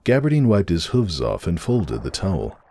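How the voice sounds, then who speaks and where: A man's voice: low-pitched, huge pitch swings, moderate pace, a little mellow. A man reading fan fiction as a narrator, in a dramatic storybook style.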